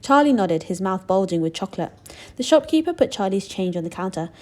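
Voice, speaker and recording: A woman narrating a children's book aloud in an expressive, deliberate storytelling style. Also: mid-range voice.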